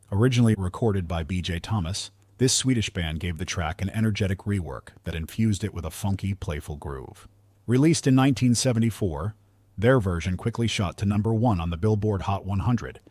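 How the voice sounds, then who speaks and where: A man reading scripted countdown narration in a polished, measured storytelling manner, close on the microphone. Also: low-pitched, a little mellow, no room sound.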